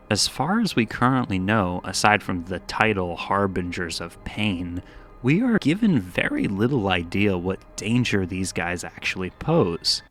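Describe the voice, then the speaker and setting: A man's voice: low voice, a bit bright. A man giving video essay commentary, musing and dramatic, shifting between scripted narration and casual, wry asides.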